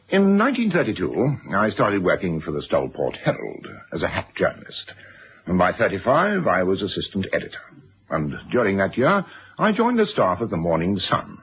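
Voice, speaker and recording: Gravelly, brusque newspaper magnate. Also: male voice, low-pitched, huge pitch swings, normal speed, full character voice. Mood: self-satisfied. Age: middle-aged. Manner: curt, pompous, self-satisfied. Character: brusque self-made newspaper magnate, stern father. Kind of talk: 1970s radio drama acting. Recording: dull, noisy vintage radio recording.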